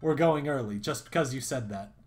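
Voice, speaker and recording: A man with a raspy voice, voicing a teasing, bossy teenage daughter in a dating game. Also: medium pitch, a little fast, darkish tone, lightly acted. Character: sassy teenage daughter, girl.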